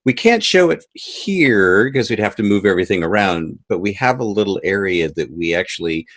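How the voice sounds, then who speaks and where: A middle-aged or older man chatting casually as an interview guest, with a halting, self-correcting delivery. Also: lowish voice, expressive, fairly bright tone. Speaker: podcast guest, audio drama creator. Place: home, in front of bookshelves. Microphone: USB desktop microphone.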